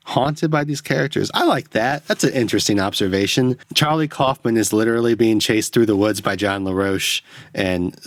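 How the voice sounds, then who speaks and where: A man's voice: lowish voice, no room sound. A man hosting a solo film podcast, rambling and self-deprecating, swearing as he analyses a movie into a close microphone. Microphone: close studio microphone.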